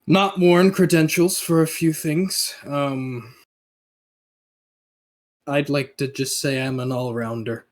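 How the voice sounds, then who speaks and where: A man playing a laconic, obedient hired gun and veteran in a tabletop roleplay, halting, deferential and deadpan. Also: fairly high pitch, voice pushed up. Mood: dry, deferential. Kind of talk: improvised in-character tabletop roleplay.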